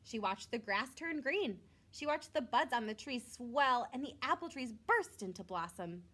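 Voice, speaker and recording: A woman's voice: a little high, expressive, normal speed, a bit bright. A woman reading a picture book aloud like a teacher to young children, expressively and with simple asides. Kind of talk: storybook read-aloud with short asides.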